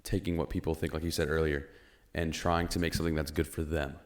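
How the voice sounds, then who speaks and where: Very deep-voiced young podcast host. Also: male voice, level tone. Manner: reflective, halting, many restarts and "you know". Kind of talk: casual chat.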